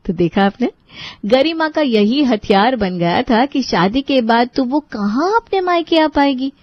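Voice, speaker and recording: A woman's voice: on the high side, no echo, muffled recording. A woman playing a resentful, put-upon young sister-in-law in a dramatized family story, sarcastic and grudgingly polite. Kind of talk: dramatized storytelling with asides to listeners.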